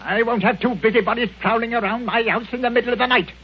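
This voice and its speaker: Cranky, high-voiced old miser. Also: male voice, steady tone, fast, slightly rough, full character voice. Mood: outraged, threatening. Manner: blustering, indignant, sputtering. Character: miserly, irascible old man hiding murderous guilt. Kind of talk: 1940s radio acting. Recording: muffled, hissy 1940 radio broadcast.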